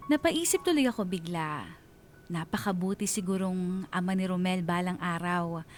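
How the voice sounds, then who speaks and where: A woman's voice: medium pitch, close to an everyday voice. A woman playing a sentimental female letter sender who narrates her romance in a reflective way, with a Filipino accent. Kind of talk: dramatic first-person radio storytelling.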